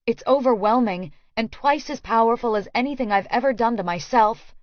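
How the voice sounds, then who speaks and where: A woman's voice: fairly high pitch, fairly quick, no room sound, highs cut off. A woman narrating a first-person romance audiobook.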